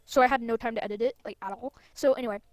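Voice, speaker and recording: A woman's voice: fairly high pitch, fairly even intonation, rapid-fire, no echo. A woman hosting a gameplay video with casual, chatty, playful commentary full of exclamations. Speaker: gaming video host. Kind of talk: casual gameplay commentary with read-out game dialogue.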